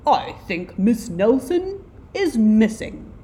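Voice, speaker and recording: A woman's voice: a little high, very animated, dark tone, a little hiss or hum, pitched above the performer's own voice. Woman doing a ponderous, dim male police detective. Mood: pondering. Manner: ponderous humming, deliberate.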